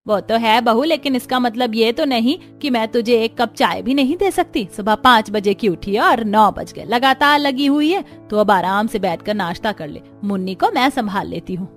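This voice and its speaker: A woman playing a caring, blunt older mother-in-law in an animated family story. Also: on the high side, moderate pace, few pauses, soft background music.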